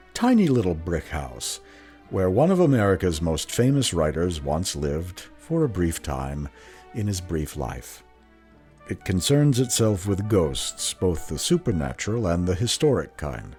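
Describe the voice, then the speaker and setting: A man hosting a radio drama series, giving measured, scripted narration in a theatrical, portentous manner. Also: sits low, a little mellow. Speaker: host, producer and star of a radio drama series. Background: faint music.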